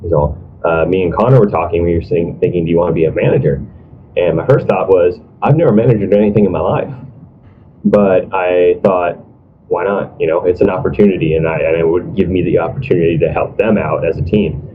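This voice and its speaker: A young man chatting casually as an esports manager, telling his story in a hesitant, self-deprecating, colloquial way over an online call. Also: brisk. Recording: dull, noisy low-quality voice call.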